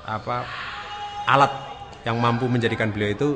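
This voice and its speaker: A man's voice: mid-range voice, steady tone, normal speed. A man explaining politics in an earnest, emphatic way, posing rhetorical questions as a commentator.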